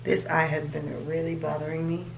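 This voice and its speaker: A woman with a raspy, allergy-hoarse voice, chatting casually to her viewers at home, rambling as she shows her planner. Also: sits low, flat delivery, normal speed, reverberant, noisy background. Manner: rambling, chatty, talks to viewers as "you guys". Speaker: vlogger. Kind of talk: casual chat. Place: home, at a desk.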